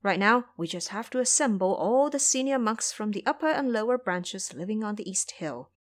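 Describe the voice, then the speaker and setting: A woman's voice: medium pitch, animated, moderate pace, natural voice. A woman playing a cunning, calculating junior male monk who speaks persuasively in an audio drama.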